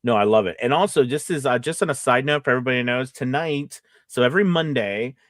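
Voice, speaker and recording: A man hosting a casual live teaching chat on a close mic, rambling a little as he thinks out loud and checks in with his listeners. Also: mid-range voice, fast talker, dry recording. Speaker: host and voice acting coach.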